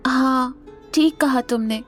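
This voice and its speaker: जिज्ञासु युवा पत्नी, हल्का लहजा. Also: female voice, sits high, slow, soft background music. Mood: curious. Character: young wife, curious, pleased and gently questioning. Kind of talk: Hindi audio drama acting.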